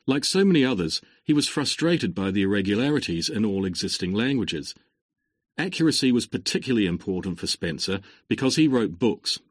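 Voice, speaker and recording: A man delivers a prepared lecture at an even, measured pace, leaning on linking words as he moves through it. His voice sits a little low and has a slight huskiness. The recording is dry and close, with no room sound. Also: husky, no room sound. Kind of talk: scripted exam-recording lecture.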